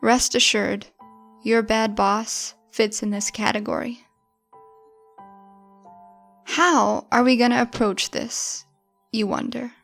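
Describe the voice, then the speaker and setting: A woman leads a guided meditation at a very unhurried pace. Her voice sits fairly high, with a touch of gravelly fry at times. She speaks in a gentle, informal, encouraging way over music. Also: steady tone.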